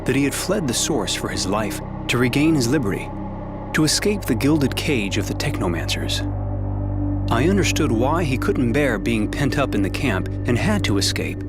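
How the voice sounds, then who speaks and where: A man's voice: mid-range voice, normal speed. An actor playing an anxious, eager young man on the run in a video game. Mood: reflective. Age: young adult. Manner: excitable, questioning. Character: eager, anxious young male escapee, loyal kid. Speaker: narrator and companion character. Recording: loud game music underneath. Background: loud music.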